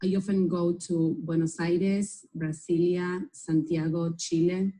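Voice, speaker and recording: Dark-toned woman in textbook dialogues. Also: lowish voice, level tone, a little slow, poor audio. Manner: plain scripted delivery. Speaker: textbook audio voice actor.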